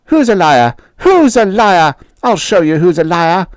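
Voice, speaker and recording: A man voicing a taunting schoolyard bully boy who jeers loudly. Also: sits high, very animated, measured pace, no echo, studio quality, voice pushed up. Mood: threatening. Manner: jeering, rough, loud.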